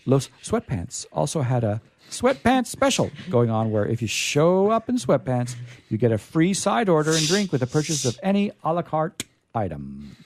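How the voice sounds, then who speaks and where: A man hosting a radio show, reading stories aloud in a theatrical, deliberate, emphatic way with casual asides. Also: a little high, huge pitch swings, slight background noise.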